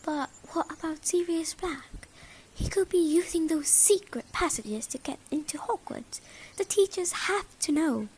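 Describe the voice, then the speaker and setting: A woman acts an earnest schoolgirl in an audio play, bossy and anxious. The voice is high and turns breathy at times. There is faint noise behind it. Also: measured pace, darkish tone, poor audio. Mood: worried. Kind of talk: amateur audio drama acting.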